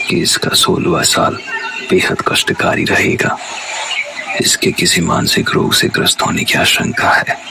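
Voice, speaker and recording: A man voicing a grave spiritual guru making measured, solemn pronouncements in an audio drama. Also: low-pitched, huge pitch swings, vocal fry, background noise, heavily acted. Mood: ominous. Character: grave male spiritual guru foretelling hardship. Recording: echoing voice over loud music.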